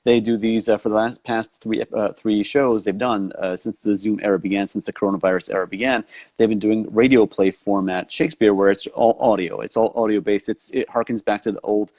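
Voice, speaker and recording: A man hosts an online talk show with a low voice and a dry, close sound. He is enthusiastic and doubles back on his words as he talks. His audio comes through a video call. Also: fairly even intonation, brisk, darkish tone, dry recording. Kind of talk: casual interview chat.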